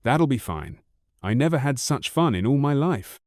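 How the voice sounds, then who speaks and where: A man voicing a wild, boastful ten-year-old boy who chatters on in rapid-fire questions. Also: medium pitch, animated, a little mellow, dry recording, clean studio sound, natural voice. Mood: eager.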